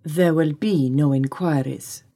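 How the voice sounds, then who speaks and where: A woman voicing a scornful, menacing and seductive male desert sheikh in an audiobook, with a calm, slow, imperious delivery. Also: deep voice. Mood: cold, commanding.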